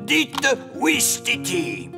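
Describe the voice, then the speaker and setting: A man's voice: very high-pitched, very slow, creaky, loud music behind the voice, full character voice. A man voicing a jolly old elf who loves pranks, speaking in a theatrical, chuckling cartoon manner. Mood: charming. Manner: theatrical, flattering, chuckling delivery. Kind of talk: animated cartoon dub.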